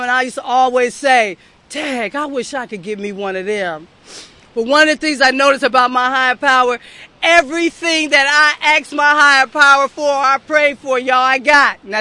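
A woman's voice: high voice, pushed and bright, no echo. A young woman from the southern United States giving a heartfelt, earnest personal testimony at a recovery meeting, speaking straight to the crowd. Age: young adult.

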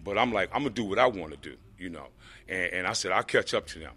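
A man with a deep voice telling his personal recovery story to a group, rambling with lots of fillers. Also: lively intonation, fast, sharp tone, breathy edge. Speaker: speaker sharing a recovery story.

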